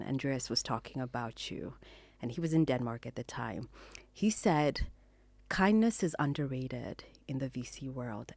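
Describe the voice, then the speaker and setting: A woman's voice: very deep voice, darkish tone, dry recording. A woman hosting a podcast, opening the episode and asking questions in an upbeat, welcoming way. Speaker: podcast host. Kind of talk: casual interview.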